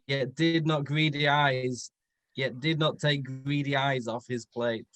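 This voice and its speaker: A man's voice: medium pitch, fairly even intonation, a little slow, a bit bright, dry recording. A man narrating a story aloud for comedy in a playfully dramatic way. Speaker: comedian reading fan fiction aloud.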